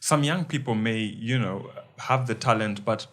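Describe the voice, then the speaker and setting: A man hosting a podcast, asking searching questions in a halting way with restarts. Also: fairly low pitch, fairly bright tone. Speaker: podcast host. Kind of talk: interview questions.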